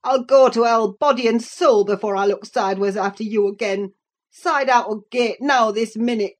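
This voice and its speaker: A surly young rustic man from a classic storybook, acted by a woman narrator. He is proud and quick-tempered, growling his words gruffly in rough country dialect. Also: fairly high pitch, voice pushed up, natural voice. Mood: angry, defiant. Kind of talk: audiobook character acting.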